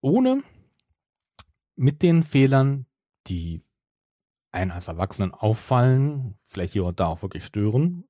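A middle-aged man, co-hosting a casual review podcast in a measured, qualifying manner. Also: medium pitch, very animated, mellow, no room sound, clean studio sound. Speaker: podcast co-host.

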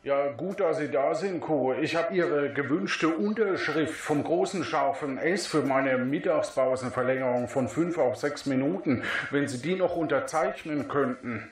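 A man's voice: on the high side, measured pace, lightly acted. A man playing a fussy, petty reception underling in a comedic audio drama, with a folksy, nagging manner. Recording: very echoey, distant from the mic.

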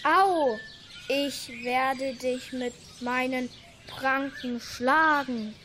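A woman's voice: sits high, extremely slow, bright, forceful tone, a little hiss or hum, full character voice. A young female playing an angry, stubborn bear in an amateur radio play, with birdsong behind. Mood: angry, threatening. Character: angry stubborn bear fighting over prey.

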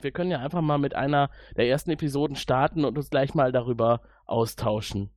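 A man's voice: medium pitch, a little fast, very noisy. A man chatting casually as a podcast host.

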